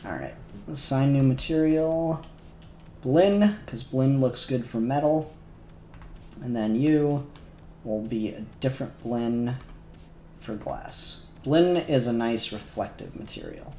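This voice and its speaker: A man's voice: medium pitch, very animated, slow pace, warm tone, slight background noise. A man live-streaming his 3D modelling work, talking casually and muttering to himself, a little self-conscious. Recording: echoey room, faint music behind.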